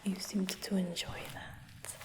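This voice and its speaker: A smug female dragon hunter, acted by a woman, whispers to her prized captive in a fantasy ASMR roleplay. Her delivery is slow, coaxing and gently boastful, soothing yet possessive. The whisper hangs in a long, echoing reverb. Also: mid-range voice, level tone, lightly acted. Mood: coaxing, pleased.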